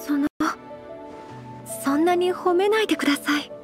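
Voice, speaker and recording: A woman playing a gentle, modest young noblewoman in an anime game, very polite, formal and composed. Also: very high voice, moderate pace, music in the background. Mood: modest, sincere.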